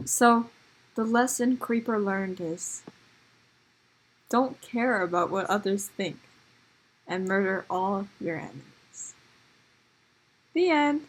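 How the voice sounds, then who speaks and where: A woman's voice: medium pitch, animated, takes it slowly, long pauses, low-quality recording. A woman improvising comic stories as a storyteller, with a dramatic, sometimes hesitant delivery. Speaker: storyteller of improvised puppet shows.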